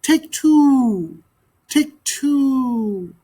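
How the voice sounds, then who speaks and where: A daft little lady dove from a picture book, put on by a man, cooing in a very high, singsong pitch. She sounds dreamy and stubborn, repeating herself. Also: animated, extremely slow, warm tone, breathy edge, exaggerated voice. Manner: cooing, repetitive. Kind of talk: storybook character voice.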